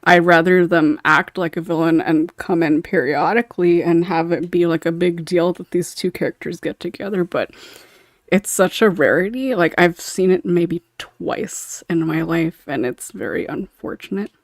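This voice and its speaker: A woman's voice: lowish voice, moderate pace, no echo, studio quality. A woman hosting a casual panel discussion, chatty and giggly. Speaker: podcast host.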